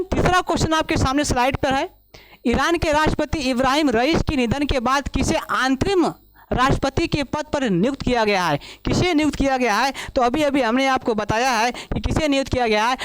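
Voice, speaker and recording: A male current affairs teacher giving an exam-prep lecture, hoarse from a long day of classes, who keeps asking himself questions and answering them. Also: very high voice, fairly bright tone, dry recording, poor audio. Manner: asks himself questions and answers them, repeats key points.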